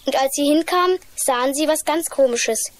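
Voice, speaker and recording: A woman's voice: high voice, moderate pace, lightly acted. A woman playing an anxious, earnest small child in a children's radio play.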